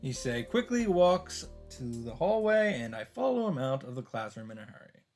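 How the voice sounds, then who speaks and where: A man's voice: medium pitch, very animated, moderate pace, a little mellow. A man narrating a visual novel aloud and adding casual commentary as a let's-play host, with game music behind him. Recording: background music.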